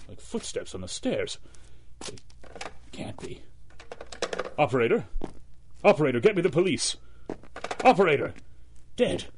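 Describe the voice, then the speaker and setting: A man's voice: medium pitch, a little hiss or hum, natural voice. A man playing a proud, formal judge in a theatrical 1930s-style radio drama. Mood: nervous. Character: haughty male judge, proud, fearful when threatened.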